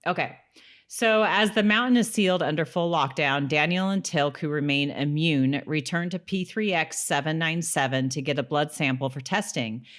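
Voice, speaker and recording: A woman's voice: low voice, normal speed, fairly bright tone. A woman co-hosting a podcast, chatting casually as she recaps a TV episode. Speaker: podcast co-host.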